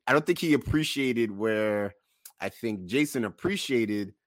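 A man co-hosting a podcast in casual discussion, making his points deliberately and repeating them for emphasis. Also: medium pitch, dry recording, clean studio sound. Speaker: podcast co-host.

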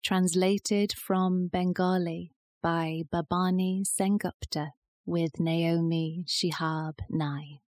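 A woman reciting poetry in a measured, deliberate style. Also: on the low side, warm tone, dry recording. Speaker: poetry reader.

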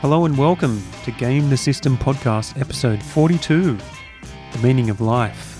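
Croaky-voiced male podcast host. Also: mid-range voice, moderate pace, music in the background. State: croaky from a cold. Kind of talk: casual podcast chat.

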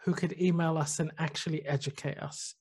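Man, podcast co-host, high voice, casual, explains things, eager tone. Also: steady tone, a little fast, darkish tone, breathy edge. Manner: explanatory, enthusiastic about cities. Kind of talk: casual chat.

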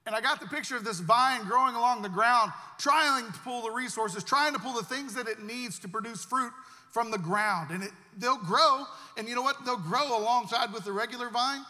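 A man's voice: extremely high pitch, normal speed, sharp tone, big-room sound. A man preaching a sermon as pastor, earnest and repeating himself as he builds each point.

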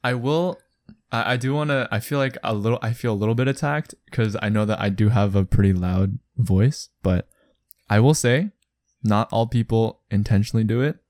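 Young man, low pitch, easygoing casual chat, dry sound. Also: normal speed, darkish tone, dry recording.

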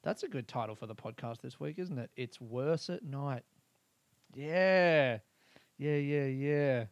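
A man's voice: medium pitch, takes it slowly, no room sound. A man hosting a podcast, rambling through a casual comedic monologue and swearing freely while he laughs at his own jokes. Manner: crude, swears a lot, laughs often; animated, rambling storytelling. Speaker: podcast host.

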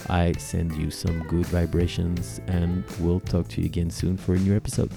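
A man's voice: deep voice, flat delivery, normal speed, creaky, mellow, faint music behind. A man hosting a podcast, polite and courteous, with short affirmations and hesitant uhs. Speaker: podcast host. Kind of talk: interview and show sign-off.

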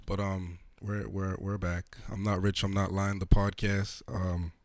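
A man hosting a podcast, hoarse, talking in a laid-back, slangy, profane way. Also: sits low, level tone, a little slow, no echo. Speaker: podcast host. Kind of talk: casual podcast chat.

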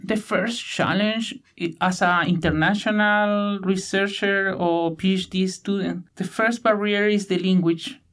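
A man in his thirties from Chile with a Chilean Spanish accent, answering interview questions in halting English with many fillers and restarts. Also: sits high, fairly even intonation, fairly bright tone. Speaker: guest, PhD student.

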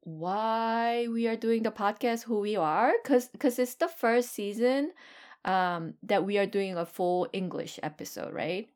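A woman's voice: medium pitch, unhurried, darkish tone. A woman, co-hosting a podcast in casual chat, filling her talk with "like" and "um". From Korea. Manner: chatty, lots of "like" and "um". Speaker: podcast co-host, ESL teacher.

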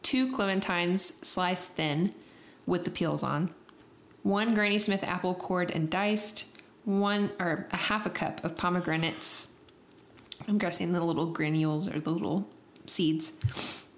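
A woman's voice: on the low side, measured pace, a little hiss or hum. A young woman co-hosting a podcast, sniffly from allergies, chatting in a rambling way with fillers. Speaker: podcast co-host. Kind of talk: casual chat.

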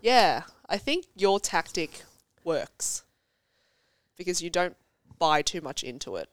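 Congested young woman, smooth voice. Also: fairly low pitch, very animated, no echo. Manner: chatty, self-deprecating, quick storytelling with quoted asides. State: congested from a cold. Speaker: podcast guest. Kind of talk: casual chat. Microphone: studio podcast mic.

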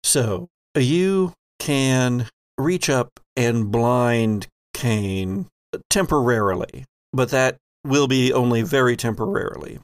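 A man hosting and narrating a tabletop horror game in a measured, theatrical way. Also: medium pitch, expressive, no room sound, studio quality. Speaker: game master and podcast host.

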